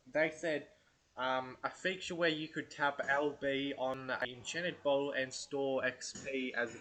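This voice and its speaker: A man's voice: medium pitch, pushed and bright. A man with a head cold, talking casually and hesitantly through ideas for a game video, full of fillers and restarts. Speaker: gaming channel host. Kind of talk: casual unscripted commentary.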